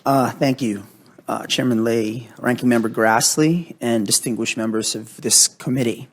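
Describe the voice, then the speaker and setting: A man in his thirties from the Philippines, a native Tagalog speaker, giving earnest, measured prepared testimony to a committee. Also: mid-range voice, steady tone, no room sound. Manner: formal, earnest, measured delivery. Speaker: witness before a committee. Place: hearing room.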